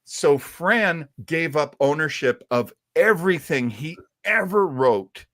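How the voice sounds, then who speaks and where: A man co-hosting a live talk show about old radio, telling its history as an expressive storyteller. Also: on the high side, slow pace. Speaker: co-host.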